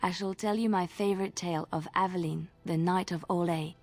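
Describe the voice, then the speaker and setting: An actress playing a gentle, earnest bard in a fantasy video game, telling tales in a measured, lyrical way. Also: female voice, fairly low pitch, steady tone. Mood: earnest storytelling. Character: Orlesian bard woman, storyteller, gentle and earnest.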